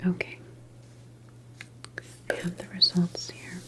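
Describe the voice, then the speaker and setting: A woman playing a calm, reassuring government doctor in a sci-fi ASMR roleplay, explaining things gently in a soft whisper. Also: slow pace. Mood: grave, reassuring.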